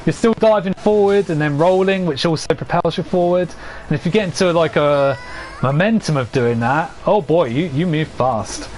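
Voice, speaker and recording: A young man giving rambling, casual commentary to his chat as he plays, laughing often at his own jokes and a little hoarse from losing his voice. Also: fairly high pitch, moderate pace, darkish tone, slight background noise. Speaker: streamer.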